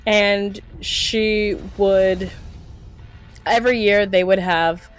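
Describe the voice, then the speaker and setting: A woman's voice: medium pitch, extremely slow, soft background music. An American woman in middle age telling stories in casual chat, animatedly acting out what people said. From eastern Pennsylvania, US. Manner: animated storytelling, acts out quoted remarks. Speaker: podcast co-host or guest.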